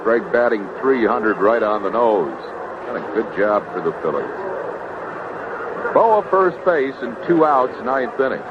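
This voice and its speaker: A man calling baseball play-by-play in clipped, unhurried phrases on an old radio broadcast. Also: mid-range voice, lively intonation. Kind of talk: radio sports commentary. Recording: dull noisy vintage radio broadcast.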